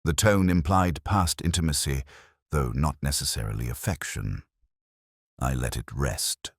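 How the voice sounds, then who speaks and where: A man narrating a detective story in the first person as a brooding, cerebral investigator, in a measured, literary, melancholy manner. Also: rumbling low, creaky, dry recording, clean studio sound. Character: brooding, cerebral male police detective narrating his case. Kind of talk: first-person scripted narration, slow-burning mystery.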